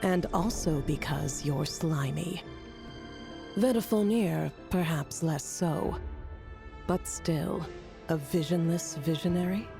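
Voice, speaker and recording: A woman's voice: sits low, slow pace, vocal fry, over music, exaggerated voice. An actress playing a blunt, haughty woman of the court in a video game, with a cool, mocking manner. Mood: dry, mocking. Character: blunt, haughty, sardonic female court intellectual. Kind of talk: dramatic video game voice acting.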